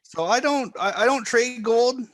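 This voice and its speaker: A man calmly walking viewers step by step through charts and trading software on a live stream. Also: very high voice, a little slow. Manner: calm, explanatory, step-by-step. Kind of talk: live screen-share trading walkthrough.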